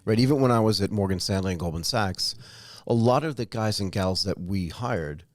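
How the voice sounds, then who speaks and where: A man's voice: on the low side, moderate pace, dry recording, clean studio sound. A man hosting a corporate podcast interview, upbeat and prompting his guests with questions. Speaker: podcast host.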